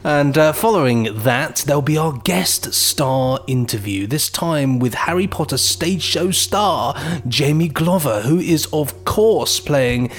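Animated British man co-hosting a podcast. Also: on the high side, slow pace, hardly any pauses. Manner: jokey, playful. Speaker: podcast co-host. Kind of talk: casual scripted-and-ad-libbed chat.

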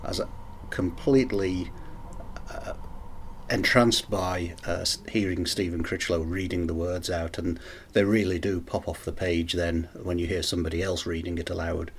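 A man speaking as a writer in an interview, thoughtful and hesitant, pausing as he explains his work. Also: sits low, steady tone, slight background noise. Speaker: short story writer. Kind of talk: interview talk about writing.

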